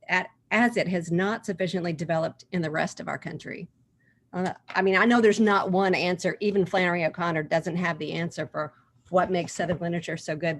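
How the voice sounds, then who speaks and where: A woman from Oklahoma taking part in a book discussion over a video call, thinking aloud with restarts and "um" fillers, and reading a passage aloud. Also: on the low side. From Oklahoma, USA. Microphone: computer mic on a video call.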